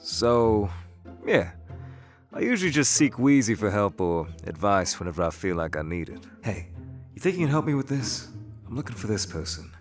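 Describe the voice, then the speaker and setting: A man voicing an easygoing young casino dealer in an animated series, casual and offhand. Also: fairly low pitch, normal speed, soft background music. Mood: relaxed. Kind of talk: animated web series voice acting.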